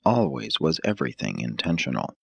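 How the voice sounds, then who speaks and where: A man narrating an audiobook from a script in a measured, formal, solemn manner. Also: a bass voice, big pitch swings, creaky, slightly rough, dry recording.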